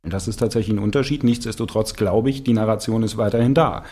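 A man's voice: fairly low pitch, quick pace, mellow, breathy edge. A man who makes radio with sounds, speaking reflectively in an interview. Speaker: radio author working with sound.

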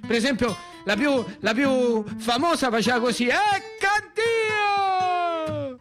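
A man's voice: very high-pitched, moderate pace, music in the background. A man with a Roman accent performing a satirical, impassioned theatrical monologue in a colloquial storytelling style, sardonic and given to repetition.

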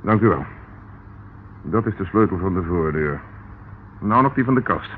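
An actor playing a persistent police inspector who questions firmly and insistently. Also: male voice, sits low, expressive, normal speed. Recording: tinny old radio broadcast, faint hiss.

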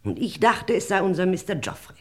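A woman's voice: on the low side, big pitch swings, brisk, slightly rough. A woman playing a fussy, self-righteous elderly lady in a radio crime play, stilted, dramatic and long-winded. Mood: indignant, lamenting. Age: older. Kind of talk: 1950s-80s German radio crime play acting.

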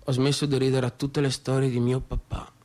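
An actor with a northeastern Italian Veneto accent playing a bitter, rambling son of a small-town businessman, in a halting, colloquial theatrical monologue. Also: mid-range voice, little pitch movement, a little mellow, natural voice. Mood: rambling, storytelling. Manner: halting, repetitive, confiding, conversational. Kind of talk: theatrical monologue in colloquial dialect-tinged speech.

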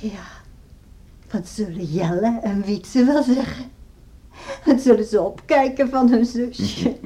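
An actress playing an anxious, tender and pious farmer's wife and mother in a radio play, musing in hushed, emotive tones. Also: on the high side, slow pace. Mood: wistful. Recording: old radio play with faint noise.

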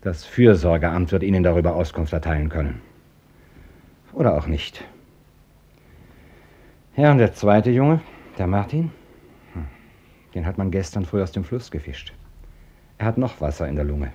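An actor playing a blunt, busy hospital doctor in a radio drama, dry and ironic but gentle with children. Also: male voice, deep voice, lively intonation, normal speed, pauses often, husky, lo-fi sound. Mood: dry, matter-of-fact. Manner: dry, clipped, formally ironic. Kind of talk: German radio drama acting.

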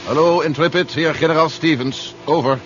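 A man's voice: on the high side, fairly even intonation, moderate pace, lightly acted. A man acting a stern, worried general in a 1970s radio drama, clipped and authoritative in his delivery. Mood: curt, commanding. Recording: muffled noisy 1970s radio recording.

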